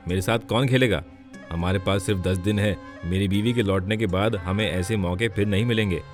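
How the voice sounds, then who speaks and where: A man's voice: lowish voice, fairly quick, faint music behind, lightly acted. A man playing a scheming gambler and bandit villain in a moral story. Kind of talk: dramatised Hindi moral story.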